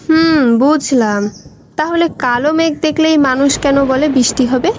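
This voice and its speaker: A woman voicing a curious, expressive young woman in an educational cartoon, speaking colloquially with questions and exclamations. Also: high voice, moderate pace, slight background noise, lightly acted. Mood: understanding, thoughtful.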